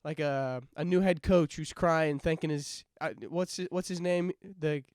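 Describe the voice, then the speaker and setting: A man's voice: fairly high pitch, steady tone, measured pace, a little mellow, dry recording, studio quality. Congested young man, chatty podcast co-host. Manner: laughs often, rambling. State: congested, runny nose. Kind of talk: casual chat.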